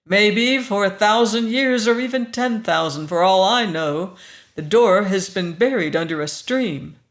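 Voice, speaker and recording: A man's voice: sits high, lively intonation, unhurried, fairly bright tone, heavily acted. Man acting a grim, terse adventurer. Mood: grim, guarded. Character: grim, guarded fighting man from an adventure story.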